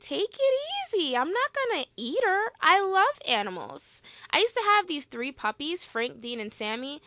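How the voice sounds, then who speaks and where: A woman's voice: very high voice, very animated, moderate pace, fairly bright tone, no echo, full character voice. A woman playing a brash, chatty, foul-mouthed young woman in an audio drama, blunt, casual and slangy. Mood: breezy, blunt. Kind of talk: amateur audio drama acting.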